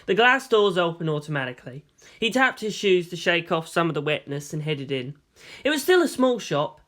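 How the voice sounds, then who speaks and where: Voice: mid-range voice, a bit bright. Audiobook narrator reading fiction.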